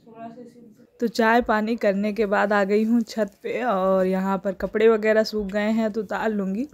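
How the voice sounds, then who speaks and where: A woman's voice: medium pitch, little pitch movement, moderate pace, darkish tone. A woman in her thirties from India, chatting casually through a family vlog, her throat sore. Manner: chatty, homely, laughs often. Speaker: family vlogger. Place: home and market.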